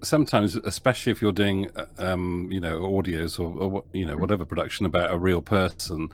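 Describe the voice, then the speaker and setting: A man's voice: low-pitched, fairly even intonation, a little fast. A man who produces audio dramas, talking through his process in a casual podcast interview, rambling with frequent ums and restarts.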